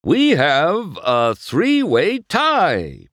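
A man's voice: fairly high pitch, wildly swooping pitch, drawn-out words, fairly bright tone, no room sound, studio quality, pitched above the performer's own voice, heavily acted. A man voicing a male race announcer who projects like he's on a PA. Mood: announcing.

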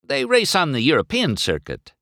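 Guy doing a cocky young race car in a storybook, whiny, full of himself, high and swoopy. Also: wildly swooping pitch, moderate pace, gravelly, a bit bright, no room sound, clean studio sound, heavily acted. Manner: whiny, self-important.

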